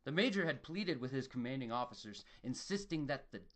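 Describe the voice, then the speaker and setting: A man's voice: mid-range voice, animated, a little fast. A man giving a dramatic scripted narration of a horror story.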